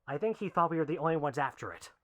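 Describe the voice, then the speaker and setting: A man's voice: fairly high pitch, animated, racing, natural voice. An actor playing an injured but resolute male gang member in an amateur western. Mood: weary, resolute. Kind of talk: amateur western film acting.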